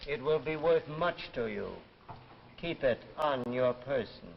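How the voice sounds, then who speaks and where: An actor playing a calm, mysterious shopkeeper with formal, polite speech in a 1950s drama. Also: male voice, mid-range voice, a little slow, creaky, background noise. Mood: grave, courteous. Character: calm, courteous, mysterious shopkeeper selling what people need. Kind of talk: 1950s television drama acting. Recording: muffled 1950s broadcast, music behind.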